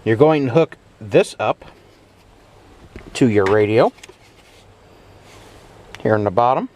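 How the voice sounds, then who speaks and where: A man's voice: medium pitch, lively intonation, slow pace, darkish tone. A man giving a playful, theatrical hands-on demonstration with storytelling narration, recorded inside the cab of a truck. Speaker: vlogger demonstrating vintage gadgets. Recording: clear background noise in vehicle cab.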